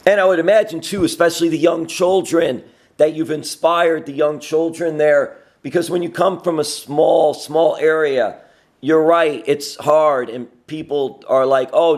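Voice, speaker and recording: A man's voice: a little high, a little mellow. A man hosting a conversational sports interview, asking long, encouraging questions. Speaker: interviewer, host.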